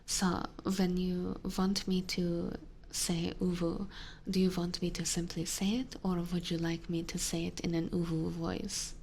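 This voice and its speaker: Young female streamer, whispered delivery, low-pitched and unhurried, gravelly. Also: flat delivery, a little hiss or hum. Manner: whispering, with frequent soft laughs. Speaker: live streamer reading chat. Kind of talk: soft-spoken whispered chat with viewers.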